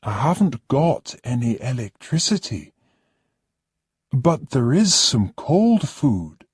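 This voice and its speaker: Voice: mid-range voice, very animated, takes it slowly, slightly rough, no echo, clean studio sound, voice pushed up, full character voice. A storybook reader voicing a polite, apologetic castle owner.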